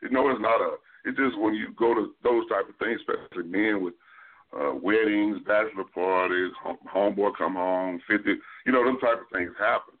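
A man's voice: on the low side, fairly quick. A man co-hosting a casual talk show, telling a story in a loose, laid-back way. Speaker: podcast co-host. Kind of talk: casual chat. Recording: tinny phone-like line.

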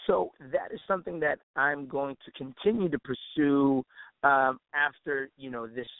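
A man with a mid-pitched voice gives a casual interview as a music artist. He talks in a loose, slangy, rambling way. The recording is dry and narrow, like a telephone call. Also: normal speed, vocal fry, no room sound. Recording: narrow tinny phone-line sound.

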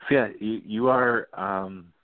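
A young man hosting a baseball talk show, eager and halting, with many restarts and nervous laughs. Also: fairly low pitch. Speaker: podcast host. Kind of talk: casual interview. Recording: tinny phone-quality line, dry.

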